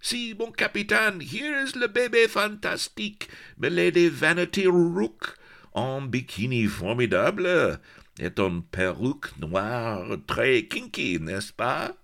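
A man's voice: fairly high pitch, animated, a little slow, gravelly, fairly bright tone, voice pushed up, full character voice. A man voicing a burly, gloating Breton pirate with a French accent, bawling mangled English mixed with French. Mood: mocking, triumphant.

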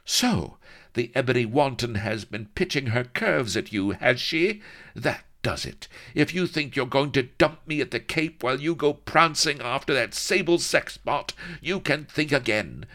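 A man voicing a haughty, jealous high-bred English lady who scolds indignantly. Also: lively intonation, a little slow, sharp tone.

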